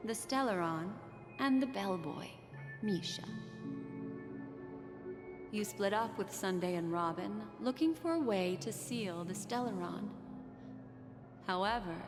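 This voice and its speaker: Enigmatic female game guide, played by an actress: unhurried, coy and composed storytelling over clear music. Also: mid-range voice, lightly acted. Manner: measured, deliberate, coy. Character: enigmatic, composed, teasing adult woman guide. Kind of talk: dramatic video game narration. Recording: long reverb, music behind.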